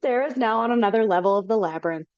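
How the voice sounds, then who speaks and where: A woman's voice: on the high side, fast talker, dry recording. A woman reading the narration in a casual, stumbling way over a video call. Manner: casual, stumbling, laughs between lines. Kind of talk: reading stage directions in a live reading. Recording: dull lo-fi call audio.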